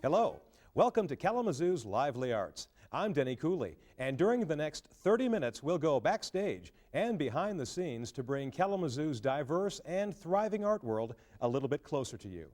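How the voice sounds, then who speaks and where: 抑揚豊かな男性司会者. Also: male voice, fairly high pitch, big pitch swings, moderate pace, a little mellow. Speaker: TV arts show host. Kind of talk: scripted presenting.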